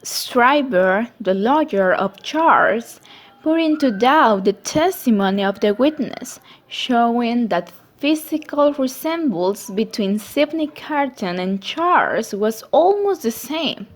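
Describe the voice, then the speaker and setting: A woman's voice: fairly high pitch, animated. A woman playing a tender young daughter who tells her own story in a scripted amateur dramatization of a classic novel. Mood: measured, recounting. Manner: slow, deliberate reading when narrating.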